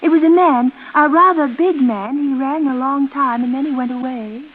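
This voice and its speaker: A woman's voice: sits high, normal speed, close to an everyday voice. An actress playing a fragile, childlike woman nearing forty in a 1940s radio suspense drama, timid, wistful and apologetic. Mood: anxious, confiding. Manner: timid, rambling, apologetic, girlish. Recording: muffled, noisy vintage radio recording.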